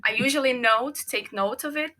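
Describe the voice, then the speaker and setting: Bright Brazilian woman with a Portuguese accent. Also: sits high, moderate pace. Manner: thoughtful, searching for words. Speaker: podcast guest, former English teacher. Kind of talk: casual interview chat.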